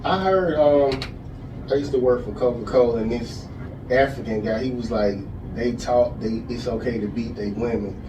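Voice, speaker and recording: Relaxed male host, casual slangy delivery, echoey room, mic at a distance. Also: medium pitch, normal speed, noisy background. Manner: laid-back, slangy questions. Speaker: podcast host. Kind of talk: casual interview.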